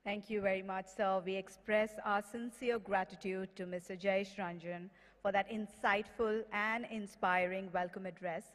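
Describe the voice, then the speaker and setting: A woman's voice: mid-range voice, flat delivery, moderate pace, fairly bright tone. Indian female emcee in a reverberant hall. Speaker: event emcee. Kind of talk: formal scripted stage announcing. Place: large conference hall, at podium. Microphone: podium gooseneck microphone. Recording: reverberant hall public address.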